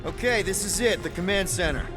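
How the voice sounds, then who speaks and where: An actor playing an easygoing, boyish space-adventurer team leader in a video game, talking in a casual, expressive way. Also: male voice, sits high, a little fast, over music, close to an everyday voice. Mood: determined.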